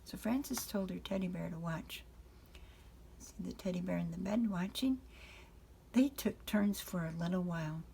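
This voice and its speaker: An older woman, a grandmother, reading a children's picture book aloud in an unhurried way, pausing to address the children. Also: on the low side, gravelly, warm tone, slight background noise.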